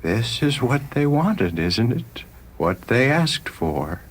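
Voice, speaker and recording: A man playing a sober, matter-of-fact small-town banker in a radio story, deliberate and resigned. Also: mid-range voice, big pitch swings, voice pushed up. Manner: deliberate, explanatory.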